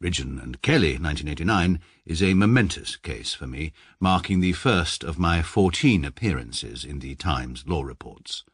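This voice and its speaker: Deep, husky and very low, a deadpan English barrister who talks down to everyone in a radio play. His pitch swings widely for all the dryness, and the studio sound is close and dead. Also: normal speed, hardly any pauses, no echo, exaggerated voice. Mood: dry. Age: 30s. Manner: dry, self-satisfied, deadpan. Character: pompous precise male barrister, thirty-three, dry. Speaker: narrator and lead. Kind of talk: radio drama first-person narration.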